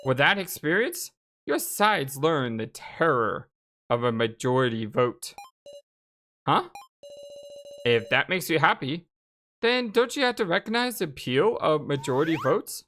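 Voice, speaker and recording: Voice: medium pitch, big pitch swings, unhurried, bright, forceful tone, slight background noise. A game streamer voicing a chatty, teasing kid from a visual novel in a breezy, needling manner.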